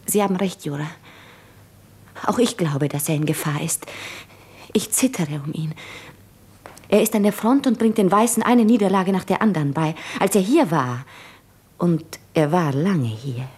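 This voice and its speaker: An actress playing an earnest, anguished wife in a 1950s German radio drama, intimate and fervent, with a husky, breathy voice. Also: female voice, fairly low pitch, lively intonation, normal speed, darkish tone. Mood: troubled, earnest.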